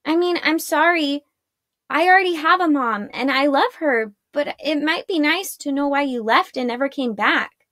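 A woman's voice: high voice, lively intonation, normal speed, few pauses, no room sound, studio quality, pitched above the performer's own voice. A woman voicing a confused, upset young witch girl in a storybook, blunt and full of demanding questions. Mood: impatient, hurt. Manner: incredulous, interrupting, blunt.

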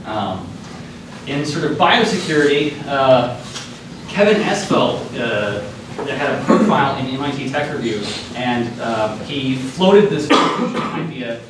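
A man's voice: mid-range voice, expressive. Young man presenting in a very echoey room. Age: young adult. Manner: halting, hedging, many filled pauses. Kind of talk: informal academic news presentation. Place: lecture hall, speaking at the front. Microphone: far camera microphone. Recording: echoey lecture room, distant microphone, clear noise.

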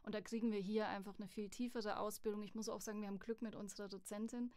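A woman's voice: medium pitch, quick pace. A young woman talks in an easy, candid way, telling her story with plenty of life. She has a mid-range voice for a woman. The sound is dry and close, with no room echo, as in a small podcast studio. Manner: chatty, candid, lively storytelling. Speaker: voice acting student, guest. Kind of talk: casual podcast interview. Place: studio at a music academy.

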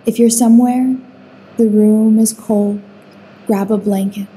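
A woman guiding a sleep meditation with soothing, unhurried instructions and long pauses between phrases. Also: mid-range voice, fairly even intonation, dark tone, a little hiss or hum, low-quality recording. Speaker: meditation guide.

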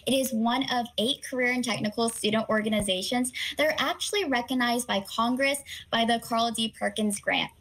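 A young woman whose first language is Korean answers news interview questions earnestly, with frequent pauses and fillers. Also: a little high, a bit bright, poor audio. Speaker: student organization president, guest.

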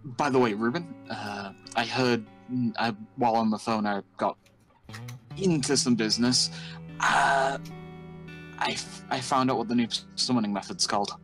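Halting man with a medium-pitched voice. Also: steady tone, fairly bright tone, faint music behind, rough recording. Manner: halting, hesitant delivery. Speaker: roleplayer. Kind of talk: improvised Minecraft roleplay chat.

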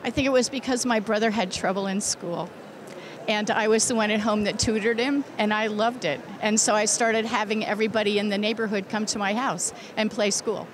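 A woman's voice: mid-range voice, fairly even intonation, a little fast, noisy background. A woman in her sixties, an educator answering interview questions earnestly and emphatically into a handheld microphone. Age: 60s. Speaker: teacher and convention committee chair, interview guest. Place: crowded indoor convention exhibition hall. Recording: loud busy convention hall background.

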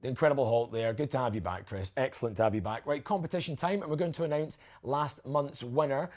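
A man's voice: mid-range voice, quick pace, darkish tone. A man in his thirties hosting a show with casual, comic banter. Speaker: show host.

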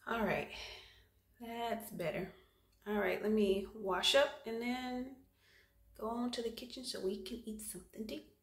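A woman in her forties talks straight to her viewers as she does her chores and cooks, chatty and quick to laugh. Her voice has a gravelly, fry-tinged edge. It is picked up on a phone camera held at arm's length, in echoey bathroom and kitchen spaces. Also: a little high, steady tone, a little hiss or hum. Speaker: vlogger. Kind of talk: casual cook-with-me vlog. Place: home bathroom, then kitchen.

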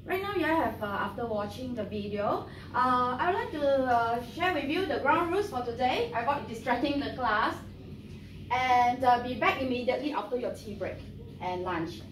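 A woman's voice: a little high, expressive. Woman trainer in a roomy classroom. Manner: friendly, halting with many fillers. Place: training room with an audience. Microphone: far camera. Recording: roomy, distant, noisy.

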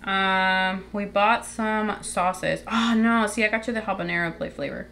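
A woman's voice: medium pitch, fairly even intonation, a little slow, fairly bright tone, a little hiss or hum. A young woman chatting casually in her home kitchen, showing groceries to the camera with lots of likes and ums. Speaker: vlogger. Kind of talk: casual grocery haul show-and-tell.

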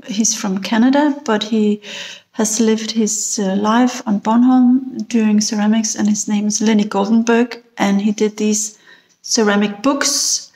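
A woman, a ceramic artist answering interview questions slowly and thoughtfully from her phone, pausing with fillers. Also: mid-range voice, darkish tone. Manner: slow, thoughtful, with pauses and fillers. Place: indoor room. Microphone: phone held close, selfie video.

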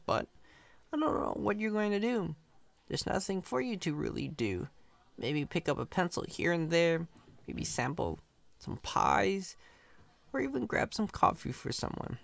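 A man's voice: on the high side, vocal fry, a bit bright, dry recording, exaggerated voice. A man playing a chatty, cocky, friendly company employee in an ASMR roleplay, casual, reassuring and playfully boastful.

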